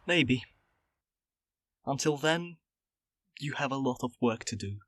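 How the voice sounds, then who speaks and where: An actor playing a weary but gentle male ex-agent in an audio drama, speaking in an understated, measured way. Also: medium pitch, animated, no room sound. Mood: guarded.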